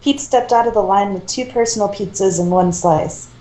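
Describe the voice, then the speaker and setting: Mellow-voiced woman reading a story, echoey room. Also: mid-range voice, moderate pace. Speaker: fan fiction reader. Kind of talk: scripted story narration read aloud, with character dialogue. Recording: echoey room, amateur recording.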